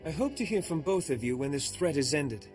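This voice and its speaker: An actor playing an earnest noble peace negotiator in a video game cutscene, with formal, diplomatic delivery. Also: male voice, a little high, expressive, brisk, darkish tone, faint music behind, natural voice. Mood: concerned.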